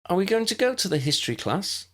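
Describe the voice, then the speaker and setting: A man voicing a sweet, curious, excitable little girl in an audiobook, squeaky and eager. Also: quick pace. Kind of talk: audiobook character voice.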